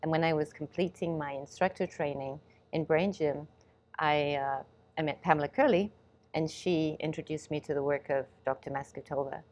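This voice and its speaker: A woman born in Ghana and raised in England, a guest answering interview questions in a thoughtful, measured way with soft laughs. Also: sits low, fairly even intonation. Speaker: guest, movement and reflex specialist.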